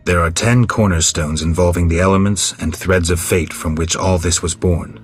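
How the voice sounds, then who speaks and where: Very deep, husky stoic monster hunter. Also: male voice, moderate pace, faint music behind. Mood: deadpan, guarded. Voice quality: gravelly, husky. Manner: terse, flat, deadpan. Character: stoic, gruff adult male monster hunter. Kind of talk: video game voice acting.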